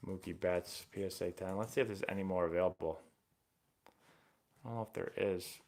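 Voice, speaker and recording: A young man hosting a live baseball stream, chatting casually and rambling excitably as he answers viewer questions. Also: deep voice, brisk. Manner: rambling and excitable, many fillers and restarts.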